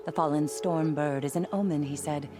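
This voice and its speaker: Woman playing a low-voiced, solemn, devout follower. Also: fairly even intonation, lightly acted. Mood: solemn, hopeful. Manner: solemn, measured, reverent phrasing. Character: devout female sect follower, solemn, proud and stubbornly loyal. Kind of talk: video game cutscene acting. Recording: prominent game music behind the voice.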